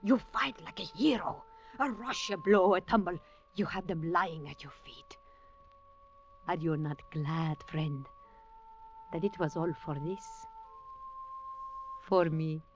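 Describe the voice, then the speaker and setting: An actress in a 1940s radio drama playing a bold, mysterious, seductive woman with stilted, exotic phrasing and a flattering manner. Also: on the low side, takes it slowly, long pauses, soft background music. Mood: seductive, fervent. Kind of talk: 1940s radio acting, melodramatic. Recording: dull, noisy restored 1940s radio recording.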